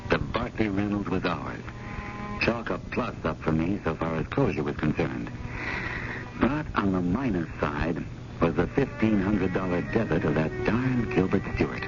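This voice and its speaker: A man's voice: deep voice, expressive, moderate pace, music in the background. A man playing a harried, wry, fretful museum curator in a radio drama, politely exasperated. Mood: wry, rueful. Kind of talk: 1980 radio drama acting with first-person narration. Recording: dull, noisy old radio broadcast.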